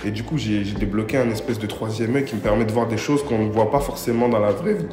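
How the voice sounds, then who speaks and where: A man's voice: lowish voice, quick pace. Young Parisian guy interviewed, hesitant slangy delivery, roomy echo, music bed underneath. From Paris, France. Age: 20s. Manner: hesitant, slangy, many fillers. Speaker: interviewee, video creator. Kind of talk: casual interview answers. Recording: echoey room with music behind.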